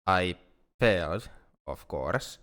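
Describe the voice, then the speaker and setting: A man playing an anxious, lovesick alien friend in an ASMR roleplay, speaking in a halting, earnest, formally worded way. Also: low-pitched, expressive, a bit bright, heavily acted. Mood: anguished. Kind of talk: ASMR roleplay, intimate dramatic monologue.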